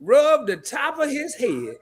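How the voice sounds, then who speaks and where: A man hosting a live-streamed interview in a casual, animated way, laughing often and using relaxed slang. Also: very high voice, slow pace.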